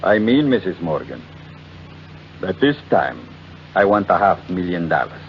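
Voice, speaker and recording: Suave, low-voiced, menacing gentleman blackmailer. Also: very animated, exaggerated voice. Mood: smugly polite. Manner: oily, overly formal politeness, deliberate phrasing. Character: suave, menacing male blackmailer, coolly polite. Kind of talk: 1940s radio mystery acting. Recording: muffled old radio recording with noisy hum.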